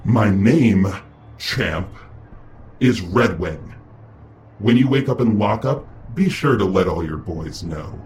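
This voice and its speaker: A man playing a cocky masked vigilante in an audio drama, speaking in a deliberate, taunting way. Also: sits low, expressive, a little mellow, slight background noise. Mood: triumphant. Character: cocky masked young male vigilante hero, threatening.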